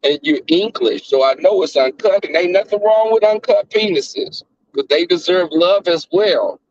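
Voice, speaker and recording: Man, Southern accent, live-stream host, crass, flamboyant, high squeals, dull lo-fi audio, dry sound. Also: normal speed, a little mellow, no echo. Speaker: livestream host. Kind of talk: casual live-stream chat.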